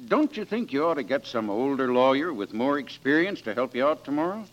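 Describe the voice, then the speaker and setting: A man's voice: mid-range voice, big pitch swings, brisk, natural voice. A man playing a folksy, blustery but well-meaning older judge in a 1940s radio drama. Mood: awkward well-meaning. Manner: hemming, mildly cussing folksy bluster. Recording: dull, band-limited old radio recording.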